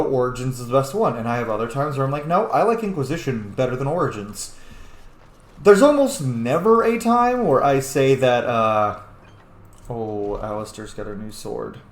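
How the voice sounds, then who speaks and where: A man giving casual, rambling, opinionated gameplay commentary with some swearing. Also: medium pitch. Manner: opinionated, profane. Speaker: gameplay host. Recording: echoey room, faint noise.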